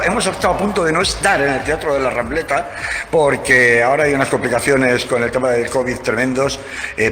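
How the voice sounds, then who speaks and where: A man's voice: mid-range voice, pushed and bright. Male interviewer on location, echoey hall, faint background noise. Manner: chatty, loose, with fillers. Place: theater premises.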